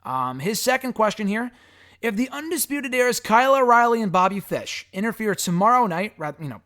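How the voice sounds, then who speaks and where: Hoarse, high-voiced man answering fan questions. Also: big pitch swings, brisk, fairly bright tone. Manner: rambling, frank, swears casually. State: hoarse, raspy voice. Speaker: wrestling podcast host answering fan questions. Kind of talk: casual solo commentary.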